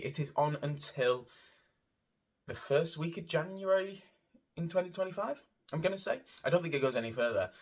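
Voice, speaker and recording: A man's voice: mid-range voice, husky. A man giving a casual, gushing and rambling review of a theatre show as a vlogger, often repeating words for emphasis. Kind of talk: casual spoken review to camera.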